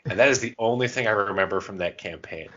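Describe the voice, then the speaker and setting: A man co-hosting a podcast, telling gaming anecdotes in a casual, animated, self-mocking way with some loose swearing. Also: lowish voice, fast talker, lo-fi sound. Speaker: podcast co-host.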